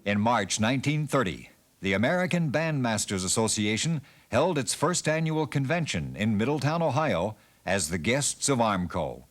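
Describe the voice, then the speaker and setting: A man narrating a documentary in a measured, storytelling delivery. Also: medium pitch, big pitch swings.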